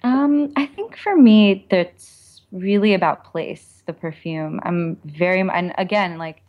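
A woman speaks in a very low register as an interview guest. She explains her thoughts conversationally, reflecting as she goes in informal language. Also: a little slow, lo-fi sound. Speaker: author and perfumer, interview guest.

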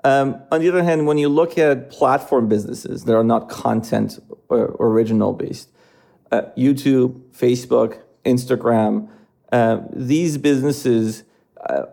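Gravelly, mellow-voiced man. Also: mid-range voice. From Iran. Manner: measured, hedging with fillers. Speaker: streaming company executive. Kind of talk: interview answers.

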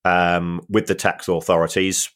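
A man co-hosting a football finance podcast, explaining things in a halting way with many restarts and dry humour. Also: low-pitched, flat delivery, a bit bright, clean tone. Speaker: football finance expert co-host. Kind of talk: casual podcast discussion.

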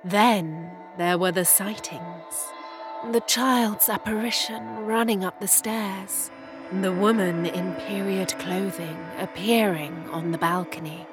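A woman giving a scripted, atmospheric radio drama introduction in a measured, ominous storytelling manner. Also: medium pitch, fairly even intonation. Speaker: announcer, narrator. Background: music.

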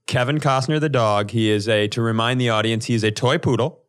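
A man's voice: mid-range voice, brisk, smooth. A young adult man co-hosting a comedy podcast, telling stories casually and jokingly, acting out the quotes as he goes. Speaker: podcast co-host. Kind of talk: casual comic chat and storytelling.

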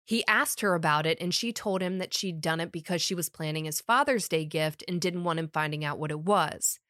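A woman's voice: lowish voice, a little fast, bright, forceful tone, no room sound. Woman host narrating true crime, dry room. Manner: wry, conversational storytelling. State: recovering from a summer cold. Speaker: podcast host.

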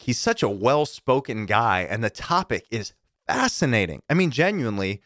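A male podcast host talking in a chatty, enthusiastic way. His voice sounds a bit deeper and rougher than usual from campfire smoke. The sound is dry and close. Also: fairly bright tone, no room sound. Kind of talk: casual podcast intro.

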